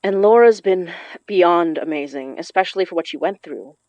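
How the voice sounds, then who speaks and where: A woman's voice: fairly low pitch, animated, normal speed, darkish tone, no room sound, muffled recording, close to an everyday voice. Actress as a dry, weary young witch. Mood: confiding. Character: tense, tired young woman healer-witch, wry and protective. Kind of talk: naturalistic audio drama acting.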